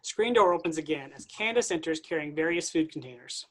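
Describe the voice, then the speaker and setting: A reader giving the stage directions of a play in a plain, even way. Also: medium pitch, a little fast, rough recording. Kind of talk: reading stage directions aloud.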